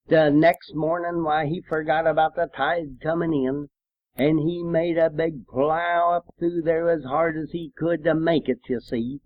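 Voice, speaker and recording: Older male veteran, high voice, unhurried rambling storyteller, dull lo-fi sound. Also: no echo. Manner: folksy, rambling. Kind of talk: casual reminiscing with old folk song.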